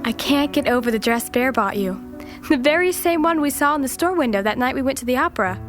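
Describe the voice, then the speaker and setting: A woman playing a chatty, girlish young woman in an audio drama, expressive in her delivery. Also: high-pitched, quick pace, soft background music. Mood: excited, chatty.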